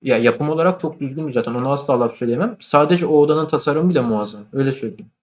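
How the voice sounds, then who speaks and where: A man chatting casually and colloquially about films, opinionated and animated. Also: on the high side, rapid-fire, dull audio.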